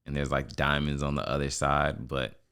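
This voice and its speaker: A man's voice: rumbling low, little pitch movement, moderate pace, a bit bright, smooth. A man hosting a podcast interview in a conversational way, often checking in with his guest. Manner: conversational, frequent "you know what I'm saying" check-ins. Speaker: podcast host.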